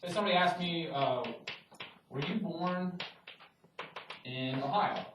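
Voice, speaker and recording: A man's voice: a little high, a little slow, noisy background. A man teaching a math class, talking in a loose, conversational way with frequent restarts and fillers. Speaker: math lecturer. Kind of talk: classroom lecture. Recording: roomy classroom audio from a distant mic.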